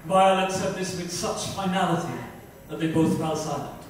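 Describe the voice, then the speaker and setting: A man's voice: high voice, normal speed. Man, dramatic book narration, very echoey room, faint background noise. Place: club lounge on stage-like set, indoors. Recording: echoey room, distant mic, noisy.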